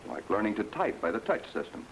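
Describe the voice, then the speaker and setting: A man's voice: on the low side, huge pitch swings, fast. An American man gives plain-spoken, explanatory 1940s instructional film narration as a flight instructor, addressing the learner directly. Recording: noisy 1940s film soundtrack, aircraft drone.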